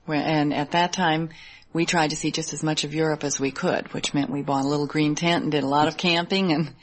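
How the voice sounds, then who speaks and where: A woman's voice: low-pitched, fairly even intonation, brisk. A woman in her thirties with an American accent, giving conversational answers as an interview guest. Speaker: interview guest, Army wife.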